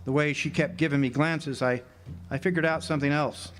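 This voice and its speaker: A man's voice: a little high, fairly even intonation, a little fast, lightly acted. An actor playing a wry, hard-boiled drifter who narrates his own tale in a live noir radio drama. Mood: brooding, wry. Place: live theater stage. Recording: noisy live amphitheater stage recording.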